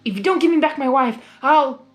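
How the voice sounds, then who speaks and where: A woman's voice: sits high, lively intonation, brisk, soft background music, pitched above the performer's own voice. A woman voicing a stern, protective male pony guard captain with an urgent, demanding manner.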